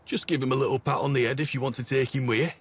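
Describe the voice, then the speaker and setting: An actor playing a plain-spoken, folksy dog owner in a video game. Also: male voice, medium pitch, steady tone, rapid-fire, husky. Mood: fond, earnest.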